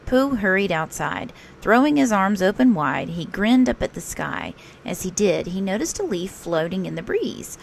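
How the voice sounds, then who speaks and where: A woman reading a children's picture book aloud as a storytelling teacher, expressive and unhurried. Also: mid-range voice, gravelly, a little hiss or hum.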